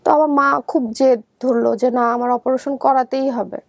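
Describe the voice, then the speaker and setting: A young adult woman with a Bangladeshi accent telling her life story in conversation, halting and often repeating herself. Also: high-pitched, steady tone, gravelly, no echo.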